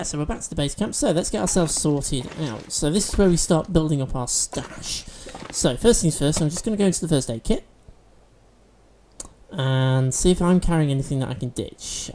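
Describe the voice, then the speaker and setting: A man's voice: on the high side, lively intonation, moderate pace, mellow, a little hiss or hum. A man hosting a let's-play video, chatting and explaining game mechanics as he plays, a bit sniffly from allergies. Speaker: gaming video host.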